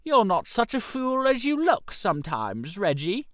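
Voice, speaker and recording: A man's voice: sits high, huge pitch swings, a little slow, fairly bright tone, no room sound, studio quality, full character voice. A man voicing a lovelorn, irritable upper-class young Englishman in a storybook reading, grumbling and aggrieved. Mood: grudging approval.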